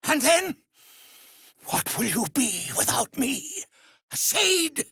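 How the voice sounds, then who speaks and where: A cruel vampire master from a video game, swooping dramatically through drawn-out phrases. The voice is sharp, strained and gravelly, full of imperious menace. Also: male voice, extremely high pitch, sing-song, no room sound, studio quality, heavily acted. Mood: scornful, agitated. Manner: theatrical, drawn-out, contemptuous.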